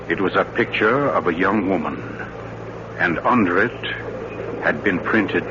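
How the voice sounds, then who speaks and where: An actor playing a suave, theatrical mystery writer who tells a sinister tale in an urbane, deliberate, dramatic 1940s radio style. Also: male voice, on the low side, background noise. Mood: ominous, suspenseful. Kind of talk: 1940s radio thriller acting. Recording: muffled old radio recording with music.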